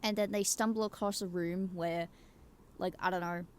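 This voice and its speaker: A woman's voice: fairly low pitch, fairly even intonation, moderate pace, clear, resonant tone. A woman giving casual, rambling opinion commentary in an emphatic way, swearing casually and checking in with the listener. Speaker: YouTuber giving game opinions.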